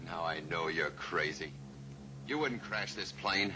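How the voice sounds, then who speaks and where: An actor playing a defiant but cowardly murderer held captive in an old-time radio drama. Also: male voice, deep voice, unhurried, over music. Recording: noisy old radio recording, engine drone.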